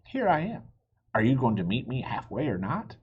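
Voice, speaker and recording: A man's voice: medium pitch, huge pitch swings, moderate pace, husky, narrow, tinny audio, voice pushed up, full character voice. A man voicing an eager, literal-minded young girl in a storybook, earnest and full of questions. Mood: eager, insistent.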